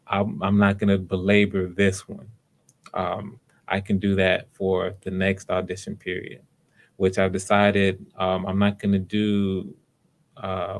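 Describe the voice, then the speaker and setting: A man hosting live online auditions, talking casually to viewers in an unhurried, explanatory way, thinking aloud as he goes. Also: lowish voice. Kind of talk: casual livestream talk to viewers.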